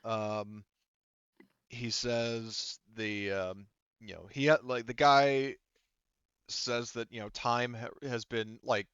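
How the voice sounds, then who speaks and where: A man running a tabletop roleplaying game as game master, improvising casual narration in a halting, self-correcting way with many fillers. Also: medium pitch, steady tone, fairly bright tone, clean tone, no echo, dull audio.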